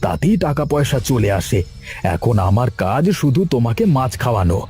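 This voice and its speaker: A man's voice: medium pitch, lively intonation, brisk, a little mellow, faint music behind, natural voice. A man playing a humble, loyal village fisherman in a cartoon, speaking earnestly and plainly. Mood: grateful, devoted. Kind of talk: Bengali horror cartoon voice acting.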